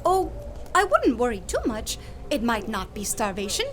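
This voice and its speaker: A high, bright and lively voice of a quirky lady naturalist, played by a woman. She rambles cheerfully and blurts out strange remarks as if they were ordinary, swinging her pitch widely as she goes. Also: moderate pace, slight background noise. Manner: chirpy, rambling, says odd things matter-of-factly. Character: eccentric cheerful woman naturalist, odd and blunt. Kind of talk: audio drama acting.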